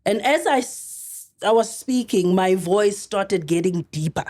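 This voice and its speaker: A middle-aged South African woman telling a story as a podcast guest, earnest and halting, often restarting her sentences. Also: mid-range voice, animated, warm tone. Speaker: actress guest.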